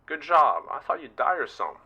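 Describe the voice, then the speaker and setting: A man plays a cocky soldier comrade for a game voice line. The delivery is casual and brash, with a very bright, forceful voice and lively pitch. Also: a little fast, slightly rough, highs cut off, heavily acted. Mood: approving.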